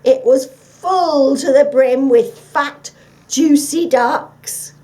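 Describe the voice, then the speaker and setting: A woman's voice: sits high, very slow, mellow, voice pushed up. A woman voicing a gullible, anxious male guard dog in a storybook, emphatic and plaintive. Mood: crafty.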